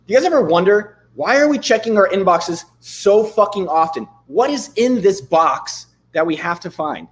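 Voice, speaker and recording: A man in his thirties hosting a live stream, chatting loosely and swearing casually, a bit hoarse from losing his voice. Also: high voice. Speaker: livestream host. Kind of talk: casual livestream chat with screen demos.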